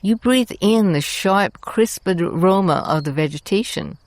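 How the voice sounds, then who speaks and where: A woman host narrating a scripted guided meditation in a soothing, deliberate manner, leaving long pauses between short phrases. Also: medium pitch, lively intonation, no room sound.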